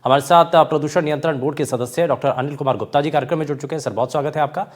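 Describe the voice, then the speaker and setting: A man's voice: a little high, very fast. A man anchoring a television news debate, formal and courteous, speaking in long run-on sentences. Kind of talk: TV news anchoring, introducing a panel.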